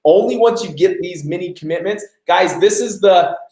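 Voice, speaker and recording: A man's voice: high-pitched, moderate pace, roomy sound. A man hosting a live question-and-answer session, coaching emphatically and talking straight to the audience. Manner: energetic, emphatic, addresses audience as guys. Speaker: host.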